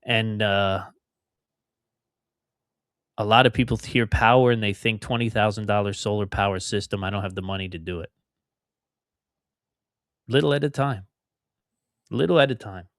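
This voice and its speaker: A man's voice: lowish voice, normal speed, long pauses, a bit bright, no room sound, studio quality. A middle-aged man from outside Philadelphia hosting a solo podcast, talking in a rambling, conversational way with emphatic repetitions. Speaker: podcast host.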